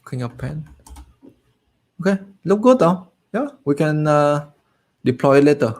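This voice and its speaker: A man whose first language is Vietnamese, casually talking through his code as he writes it, muttering to himself with lots of fillers, his voice worn low from talking. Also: lively intonation, a little slow, darkish tone. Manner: thinks aloud, muttering to himself with frequent fillers. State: voice getting low and tired. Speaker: coding tutorial host. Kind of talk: casual live coding walkthrough.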